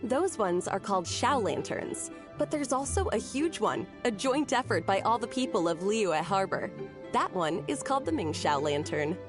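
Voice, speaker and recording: A woman's voice: medium pitch, sing-song, normal speed, close to an everyday voice. A woman voicing a friendly, chatty townswoman who explains things helpfully in a video game. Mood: friendly, informative. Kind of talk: video game character dialogue. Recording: music behind the voice.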